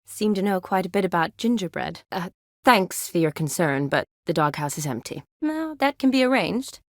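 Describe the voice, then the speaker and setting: A woman's voice: lowish voice, expressive, normal speed, no room sound, studio quality. An actress voicing a spirited, teasing young woman medic in a fantasy video game, conversational and wry. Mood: playful.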